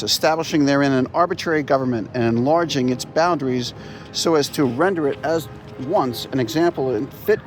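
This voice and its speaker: A man reading a historical document aloud, earnestly, leaning into each item of a list. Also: a little high. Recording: clear music behind the voice.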